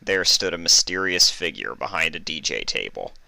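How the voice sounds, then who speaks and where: A man narrates fan fiction from a script. His voice is deep yet very bright and forceful, recorded dry and close. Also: sing-song, moderate pace, creaky, dry recording.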